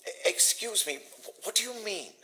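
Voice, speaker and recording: An actor playing an ailing, earnest Victorian philanthropist lord in a video game, genteel and halting, with a British accent. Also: male voice, sits high, expressive, bright, forceful tone, full character voice, poor audio. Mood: flustered, dismissive. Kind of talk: scripted video game dialogue acting.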